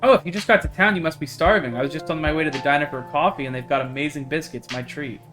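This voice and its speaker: Man reading as chatty, higher-pitched small-town woman. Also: fast, music in the background, close to an everyday voice. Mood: friendly, casual. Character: Stella, chatty friendly small-town woman, warm and curious. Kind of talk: visual novel character read-aloud.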